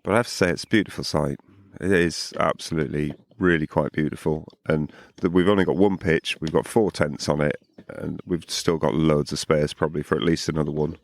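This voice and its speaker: A man co-hosting a podcast, chatting casually at a campsite in a morning voice hoarse from drinking, relaxed and rambling, repeating words as he goes. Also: very deep voice, brisk, hardly any pauses, no echo. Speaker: podcast co-host. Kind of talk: casual chat outdoors at a campsite.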